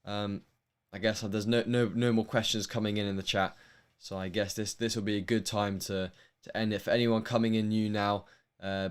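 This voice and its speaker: A man's voice: lowish voice, clear, resonant tone. Young man with a posh London accent. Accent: posh London English. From England. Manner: rambling, frequent ums and restarts. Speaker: tennis livestream host. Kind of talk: casual livestream chat answering viewer questions.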